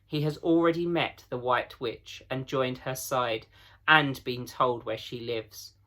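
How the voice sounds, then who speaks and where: A man's voice: medium pitch, fairly even intonation, unhurried, pushed and bright. A man voicing a blunt, grave male beaver in a storybook reading, with weighty, urgent delivery. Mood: grave, knowing.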